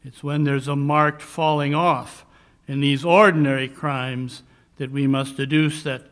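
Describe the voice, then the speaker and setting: A man's voice: medium pitch, level tone, fairly bright tone, lightly acted. A man playing a coolly brilliant, brooding Victorian detective in a 1940s radio mystery style, speaking in precise, declamatory phrases. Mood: grave, brooding. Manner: deliberate, precise, declamatory monologues. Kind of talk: 1940s radio mystery acting, live re-creation.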